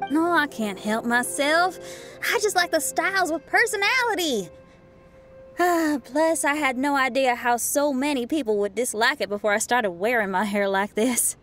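A woman's voice: high-pitched, moderate pace, fairly bright tone, soft background music. An actress playing a spirited young woman musician in a video game, colloquial, self-assured and encouraging. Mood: self-assured, upbeat.

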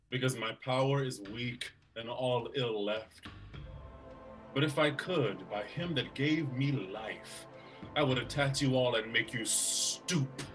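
A man's voice: medium pitch, fairly bright tone, far from the mic, natural voice. A man in a video-call Shakespeare reading, playing a weary older uncle who resigns himself to his weakness. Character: weary elderly uncle, powerless regent. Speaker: actor. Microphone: video call webcam.

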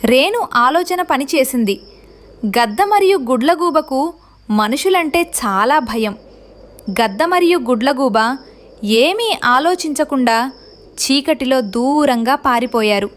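A woman's voice: high voice, animated, slow pace. A woman reading a scripted children's story as narrator.

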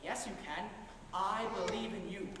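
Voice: mid-range voice, moderate pace, natural voice. A performer playing a persuasive, domineering older-hand political mentor, coaxing and patronizing, in an amateur stage comedy. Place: large theater stage, distant camera. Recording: very echoey theater, faint noise.

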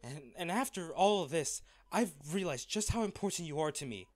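A man playing a wealthy, devoted, protective lover in a romantic audio roleplay, speaking tenderly and earnestly with halting phrases. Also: on the high side, animated, close to an everyday voice. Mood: pained, confessional.

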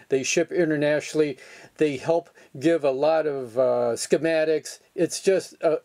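Older male DIY boat mechanic, slightly higher voice, casual how-to delivery, matter-of-fact and plain-spoken. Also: a little mellow. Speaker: sailor demonstrating boat engine repair.

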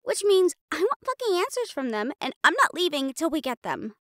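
A woman's voice: sits high, big pitch swings, a little fast, fairly bright tone, no room sound, clean studio sound, voice pushed up. A woman playing a feisty young female hunter, brash and casual, in an audio roleplay. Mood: angry, determined.

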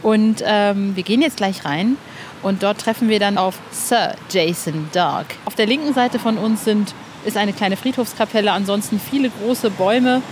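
Woman, podcast interviewer, informal and enthusiastic, mid-pitched, outdoor noise behind her. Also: normal speed. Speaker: podcast host. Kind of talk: excited podcast interview and chat. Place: outdoors in a cemetery. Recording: clear outdoor background noise.

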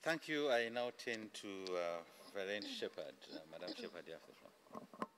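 A middle-aged man chairing a panel in a conference room, speaking in halting phrases into a desk microphone. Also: fairly low pitch, big pitch swings, background noise. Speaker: panel moderator. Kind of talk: chairing a formal panel discussion. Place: large indoor conference room.

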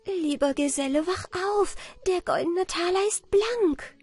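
A woman voicing an emotional, warm-hearted fairy-tale heroine in a heartfelt, exclaiming way. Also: sits high, a little slow, soft background music, pitched above the performer's own voice. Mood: jubilant.

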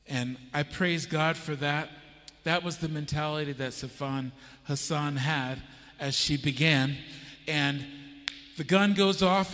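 American pastor preaching in a hall. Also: male voice, a little high, a bit bright. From United States. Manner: conversational storytelling, asks the audience questions. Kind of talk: sermon. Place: church stage. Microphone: handheld microphone. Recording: church hall reverb.

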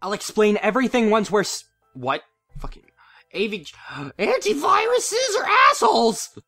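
Animated woman with a mid-pitched voice. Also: normal speed, no echo, studio quality, faint music behind. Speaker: amateur voice actor. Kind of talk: performed outtake lines.

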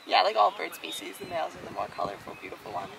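A woman's voice: low-pitched, big pitch swings, fast, gravelly, a bit bright. A woman in her twenties chatting and teasing on a travel vlog, filmed on a camera. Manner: teasing, giggly. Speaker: travel vlogger. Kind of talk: casual travel vlog chat. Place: outdoors on an island and in a ship cabin. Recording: distant noisy camera audio.

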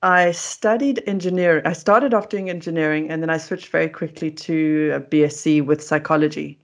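A woman's voice: low-pitched, fairly even intonation, moderate pace. A middle-aged South African woman speaks as a guest on a remote video call. Her manner is chatty and self-deprecating, in casual conversational storytelling. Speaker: interview guest, food coach.

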